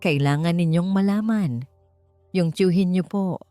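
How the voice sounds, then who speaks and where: A woman's voice: fairly low pitch, unhurried, warm tone, clear, resonant tone, no echo, studio quality, faint music behind, natural voice. A woman with a Filipino accent voicing a desperate, earnest young cleaning woman in an audiobook, breathless and pleading. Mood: urgent pleading.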